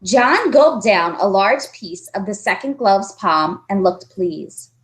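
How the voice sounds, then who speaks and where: A woman reading a children's story aloud as an expressive narrator. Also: medium pitch, slow pace. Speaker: storybook reader.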